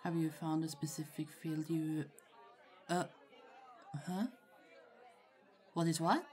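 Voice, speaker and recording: A young woman playing a shy, friendly college student in an ASMR roleplay, speaking in a hesitant, halting, giggly way. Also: deep voice, level tone, a little mellow. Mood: shy, thoughtful. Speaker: voice actor in roleplay audio. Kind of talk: ASMR roleplay, acted casual conversation. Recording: faint crowd chatter behind. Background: party crowd murmur.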